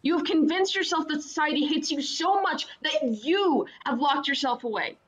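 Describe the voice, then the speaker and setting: A woman's voice: sits high, normal speed, a bit bright, highs cut off. A woman playing a caring but blunt sister in a radio play, teasing and insistent with her brother. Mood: insistent, supportive.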